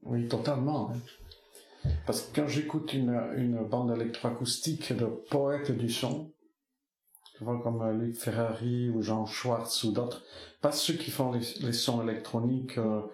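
Slow, halting man in echoey room. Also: mid-range voice, steady tone, a little mellow. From French Basque Country. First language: Basque. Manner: slow, halting, many restarts and hesitations. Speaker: musician interviewee. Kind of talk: reminiscing interview.

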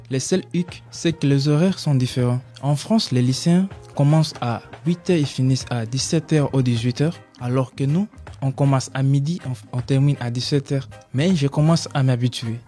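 Mellow teenage boy with Congolese accent. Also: medium pitch. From Democratic Republic of the Congo. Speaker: student giving a testimony on radio. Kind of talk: prepared personal testimony.

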